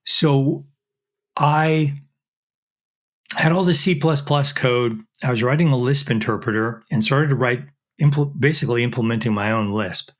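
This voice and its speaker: A man's voice: mid-range voice, measured pace. A middle-aged man, a chemistry professor, explaining technical work in an interview with frequent fillers and restarts.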